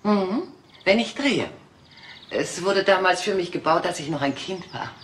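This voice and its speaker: An aging gracious film diva. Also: female voice, lowish voice, lively intonation, measured pace, a little hiss or hum. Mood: guarded. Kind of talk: German radio play acting.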